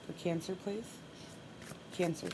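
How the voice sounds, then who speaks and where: A woman with a stuffy nose giving a solo tarot reading, calmly and softly repeating her requests as she draws cards. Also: lowish voice, unhurried, background noise. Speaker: tarot reader.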